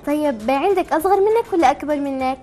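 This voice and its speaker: A woman's voice: high voice, quick pace, dark tone, soft background music. A woman hosting a chatty live TV call-in, asking simple, encouraging questions. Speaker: TV show host.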